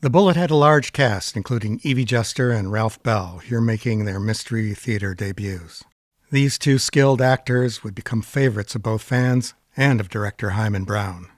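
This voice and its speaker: A man presents a scripted introduction. His voice sits somewhat low, and the recording is dry, with no room around it. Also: moderate pace, dry recording. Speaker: presenter of archive radio episodes.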